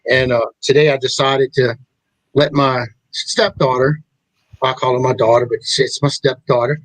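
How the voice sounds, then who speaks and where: A middle-aged man hosting a talk show, speaking in a rambling, halting way with many restarts. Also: medium pitch, fairly even intonation, a bit bright, no room sound, low-quality recording. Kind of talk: casual chat.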